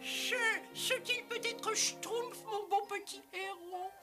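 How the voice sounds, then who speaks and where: A woman voicing a spirited, outspoken female Smurf in a cartoon dub, emphatic and expressive. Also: extremely high pitch, slow pace. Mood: admiring, frank. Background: background music.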